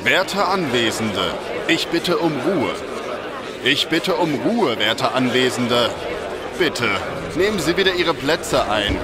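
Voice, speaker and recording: Slow, formal senate chairman. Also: mid-range voice, sing-song, a loud crowd. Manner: formal, declamatory. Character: formal, stern male chairman of a galactic senate. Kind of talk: retro sci-fi audio drama acting. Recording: noisy assembly hall ambience behind.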